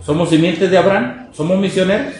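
A man's voice: high voice, a little fast, a little mellow. A man preaching to a congregation, exhorting with rhetorical questions, reading quotations and commenting on them. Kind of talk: fervent sermon to a congregation, reading quotes and commenting. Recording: echoey room, noisy background.

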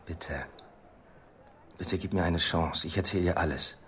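A man's voice: deep voice, fairly even intonation, unhurried, fairly bright tone, slight background noise. An actor playing a desperate, worn-out male police inspector in a radio play, speaking earnestly and urgently. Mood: weary, pleading.

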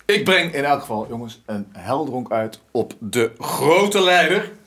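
Mocking rebel, bombastic, very lively intonation, mid pitch, radio drama. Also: male voice, measured pace. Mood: exuberant. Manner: declamatory, mocking. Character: bombastic, provocative male rebel son of grand family.

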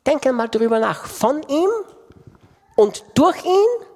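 A man's voice: very high voice, very animated, takes it slowly. A man preaching a sermon with impassioned delivery and emphatic repetition. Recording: long reverb of a large hall.

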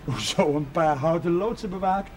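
An actor playing a warm, proud, middle-aged working-class father in a television period drama. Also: on the high side, normal speed, natural voice. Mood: hesitant. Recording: faintly noisy 1970s television soundtrack.

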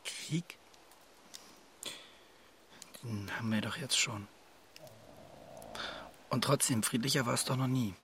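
An actor playing a weary, pragmatic, placating husband in a naturalistic radio drama, speaking in a hesitant, muted, often whispered way. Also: male voice, medium pitch, takes it slowly, long pauses, fairly bright tone, a little hiss or hum. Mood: dry, resigned.

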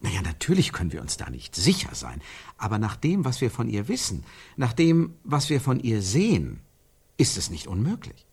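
Radio play: a probing, insistent man, mid-pitched and husky, circling his point with emphatic repeats. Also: wildly swooping pitch, darkish tone, heavily acted. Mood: argumentative, musing. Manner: emphatic, repetitive, probing, pausing for effect. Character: insistent, searching man theorising about an absent woman. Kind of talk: radio play dialogue, rhetorical repetition.

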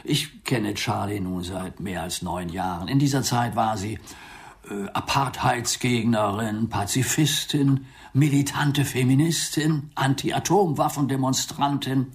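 Actor as a genteel, wordy London theatre agent. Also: male voice, mid-range voice, a little slow, close to an everyday voice. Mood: pleading, affectionate. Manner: polite, wordy, indignant, old-fashioned phrasing. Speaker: actor in a radio play. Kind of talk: German radio drama acting.

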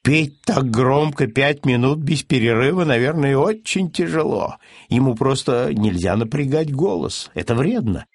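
A man's voice: mid-range voice, expressive, measured pace, breathy edge, no echo. Man voicing a kindly, musing old farmer. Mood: considerate.

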